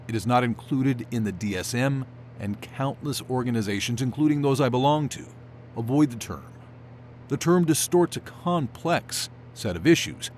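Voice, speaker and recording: A man playing a precise, formal psychiatrist giving measured expert answers in an interview. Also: medium pitch, a little hiss or hum, close to an everyday voice.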